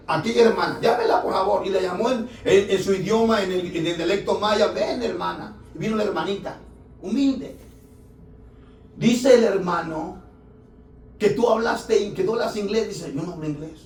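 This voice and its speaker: Fervent preaching pastor, high voice, echoey church. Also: male voice, lively intonation, moderate pace, distant mic. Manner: fervent preaching, rising to shouts, filler "hermano". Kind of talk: sermon. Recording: echoey church room, voice from off-mic.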